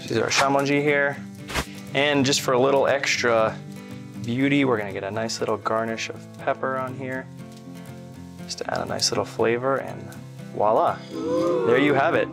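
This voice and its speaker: A man in his thirties hosting a home cooking demo, giving earnest step-by-step instructions with deadpan jokes, recorded on a lapel mic in a home kitchen. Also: mid-range voice, moderate pace, gravelly, pauses often. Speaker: cooking show host. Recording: music playing underneath.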